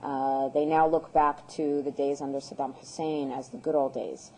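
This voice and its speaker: A woman in her thirties from the United States, answering interview questions in an earnest, measured way with frequent ums and uhs. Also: low voice, little pitch movement, darkish tone, a little hiss or hum, rough recording. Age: 30s. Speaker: guest, peace activist.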